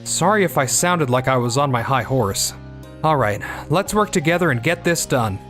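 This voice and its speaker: Man acting as a fair-minded, animated young-sounding team leader. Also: mid-range voice, normal speed, close to an everyday voice. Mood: encouraging. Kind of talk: anime-style manga dub dialogue. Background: background music.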